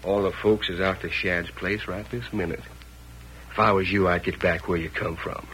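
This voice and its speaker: An actor playing a laconic, unhurried western townsman with a folksy drawl in an old radio drama. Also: low voice, fairly even intonation, a bit bright. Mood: grave, laconic. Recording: slightly noisy old radio recording.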